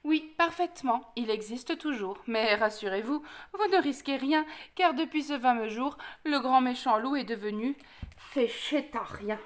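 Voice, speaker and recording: High-voiced woman telling children's stories. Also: expressive. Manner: dramatic pauses, emphatic repetition, addressing children. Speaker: storyteller. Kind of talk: expressive children's picture-book narration.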